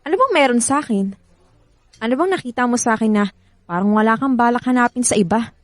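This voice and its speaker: A woman's voice: on the high side, lively intonation, fast talker, no echo, clean studio sound, lightly acted. An actress playing a firm, hurt young woman rejecting her ex in a Filipino radio drama, emphatic and exasperated. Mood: weary, guarded. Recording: music bed behind the voice.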